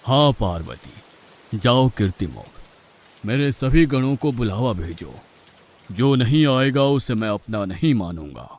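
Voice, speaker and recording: A man's voice: mid-range voice, sing-song, unhurried, darkish tone. A man playing a commanding god who orders his attendants in a mythological cartoon, with authoritative delivery. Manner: authoritative, declaratory. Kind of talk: Hindi cartoon mythological acting.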